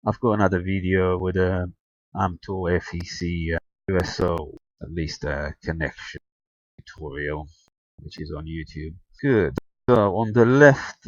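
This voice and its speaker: A man giving a casual hands-on tutorial narration, halting and thinking aloud as he goes. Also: deep voice, no echo. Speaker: amateur radio video tutorial presenter. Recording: dull, lo-fi close sound.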